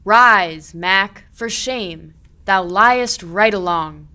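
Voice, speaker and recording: Man playing a slow, bright-voiced blunt shepherd. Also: sits high, smooth, exaggerated voice. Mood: loud, accusing. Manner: theatrical, declaiming rhymed verse. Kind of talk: verse radio play acting of a medieval comedy.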